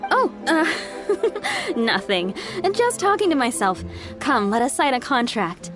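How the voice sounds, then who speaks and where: An actress playing an eager, calculating merchant in a video game, with polite, expressive sales patter. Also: female voice, high voice, slow pace, heavily acted. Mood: eager, businesslike. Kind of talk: video game character acting. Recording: game music behind the voice.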